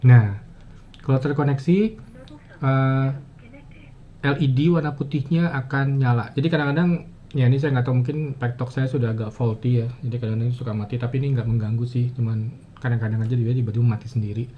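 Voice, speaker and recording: A man giving a casual step-by-step demonstration, talking in a halting way as he thinks aloud with frequent pauses. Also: medium pitch, fairly even intonation. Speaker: motovlogger giving a gear tutorial. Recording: muffled, dull audio with clear ambient noise.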